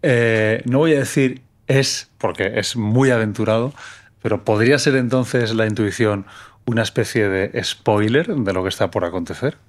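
A man's voice: fairly low pitch, normal speed. A man from Menorca hosting a conversational interview, reflective and telling a personal story at length. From Menorca, Spain.

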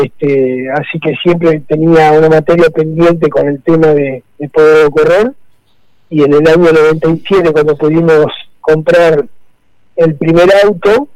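A middle-aged man from Santa Fe speaks with an Argentine accent in a casual radio interview. His voice sits a little high, and he talks haltingly, with many fillers and repeated words. The sound is dry and close to the mic. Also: darkish tone, no room sound, rough recording. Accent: Argentine Spanish. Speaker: rally co-driver guest.

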